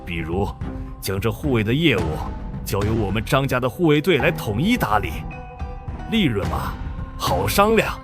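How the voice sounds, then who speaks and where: A man's voice: mid-range voice, sing-song, normal speed. A man playing an arrogant, aggressive fantasy clan antagonist in a dramatic motion comic, haughty and theatrical. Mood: smug, oily. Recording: loud music under the voice. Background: loud dramatic music.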